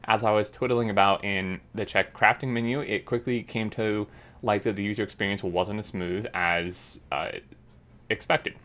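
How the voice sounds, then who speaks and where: A man's voice: lowish voice, steady tone, moderate pace, fairly bright tone. A man casually reading out and commenting on a game developer blog, upbeat and full of fillers. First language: English. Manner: chatty, filler-heavy, upbeat. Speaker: gaming YouTuber.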